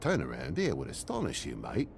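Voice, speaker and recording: An actor with a British accent voicing an aggrieved, slangy middle-aged toad, a shifty dad in a video game, whining and indignant. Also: male voice, deep voice, huge pitch swings, a little fast, vocal fry, slight background noise, exaggerated voice. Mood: sullen, defensive. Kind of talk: dramatic video game dialogue.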